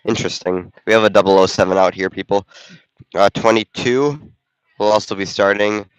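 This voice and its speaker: A man's voice: on the low side, steady tone, moderate pace, clean tone, no echo, lo-fi sound. A man doing casual play-by-play commentary while also running the camera, congested from a cold, chatting and thinking aloud. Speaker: volunteer game commentator and camera operator. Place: school gym during a basketball game.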